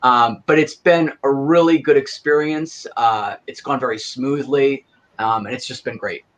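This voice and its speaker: A man who produces an audio drama, chatting casually on a podcast. He rambles with lots of fillers at an ordinary middle pitch. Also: measured pace. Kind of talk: casual chat.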